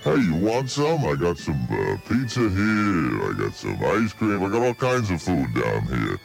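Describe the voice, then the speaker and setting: A greedy monster under the bed growls out his demands. His voice sits extremely low and grinds with gravel, yet it swings widely as he wisecracks and pushes for more food. Faint music plays behind him. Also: wildly swooping pitch, a little slow, heavily acted. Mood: taunting. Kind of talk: comedy radio bit.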